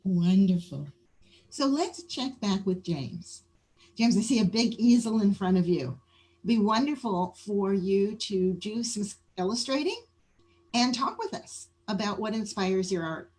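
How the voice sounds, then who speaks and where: A woman speaks as the host of a children's programme, presenting and handing over to a guest. Her voice sits at a middle pitch. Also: expressive, unhurried, a little mellow.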